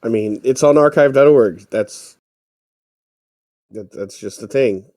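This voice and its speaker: Male podcast co-host, medium pitch, dry close sound, casual chat, informal explaining. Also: a little slow, a little mellow, no room sound.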